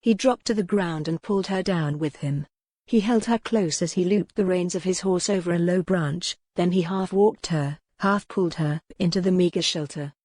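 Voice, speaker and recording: Female audiobook narrator for historical romance: lowish pitch, scripted dramatic prose, very dry studio-like sound. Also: moderate pace, a little mellow, no room sound.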